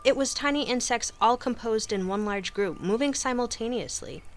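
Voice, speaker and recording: A woman's voice: a little high, normal speed, natural voice. A woman playing an eager, curious scribe on an expedition in a radio drama, full of wonder. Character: curious, excitable young female scribe and explorer. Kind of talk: audio drama acting. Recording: music behind the voice.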